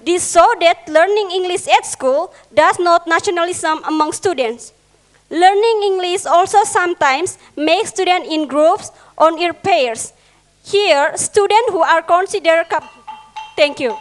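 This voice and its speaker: High-pitched teenage woman, Indonesian accent, non-native English, school debater, reads haltingly. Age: teens. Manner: reads a prepared speech with halting restarts. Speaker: student debater, opposition.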